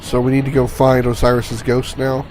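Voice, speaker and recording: A stuffy, sniffling man chats his way through a game, rambling and stumbling over words with chuckling asides. His voice sits at an ordinary pitch with faint game music behind him. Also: completely flat delivery, moderate pace, a little mellow, low-quality recording. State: stuffy, sniffling from sinus infection. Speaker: gaming channel host. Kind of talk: casual let's-play commentary.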